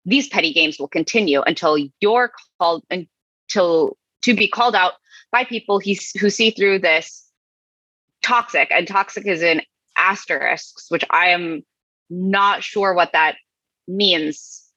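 Woman podcast co-host, bright forceful tone, wry dry delivery, slightly dull recording. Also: fairly low pitch, unhurried, breathy edge, no echo. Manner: wry, dry reading with asides. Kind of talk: casual podcast chat, reading comments aloud.

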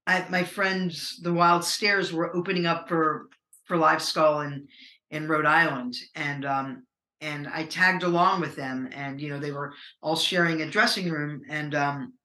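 Woman, middle-aged, low voice, hesitant, many fillers, roomy echo. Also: steady tone, a little slow, sharp tone. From American. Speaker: musician guest. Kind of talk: casual interview conversation.

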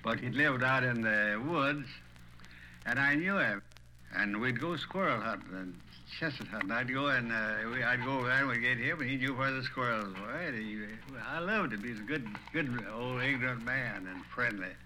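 A man's voice: mid-range voice, animated, moderate pace, background noise. An older man with a Tennessee Southern accent tells stories from his life in a folksy way, acting out remembered conversations. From Tennessee, United States. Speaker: retired small-town banker telling his memories. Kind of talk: oral history storytelling.

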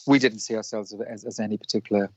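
A man from Wales, a musician guest, answering in a halting way with many restarts. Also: lowish voice, fairly even intonation, darkish tone, no echo. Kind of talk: casual interview chat. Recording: dull dry lo-fi line.

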